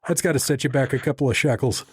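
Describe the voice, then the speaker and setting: A man's voice: medium pitch, fast talker, husky, dry recording. Folksy American man hosting, dry close sound. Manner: folksy, blunt, slangy. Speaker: podcast host and interviewer. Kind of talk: informal conversational interview.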